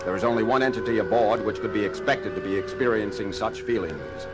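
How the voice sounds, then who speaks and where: A logical alien science officer, acted by a man, speaks in a fairly low voice over loud music. His delivery is formal, precise and measured, in the style of a sci-fi audio play. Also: close to an everyday voice. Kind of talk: dramatic science fiction audio play acting. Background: loud dramatic music.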